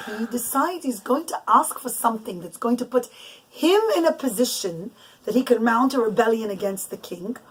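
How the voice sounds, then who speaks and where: A woman telling a bedtime story to children off the cuff, in a halting way with emphatic repetition. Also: high voice, fairly bright tone, husky, rough recording. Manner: halting, restarts and loses the thread, emphatic repetition.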